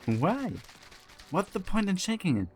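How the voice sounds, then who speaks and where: An actor playing a casual, teasing older brother in an audio drama, with a dry manner. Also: male voice, sits high, sing-song, measured pace, faint music behind. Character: sluggish, teasing German man, an older brother.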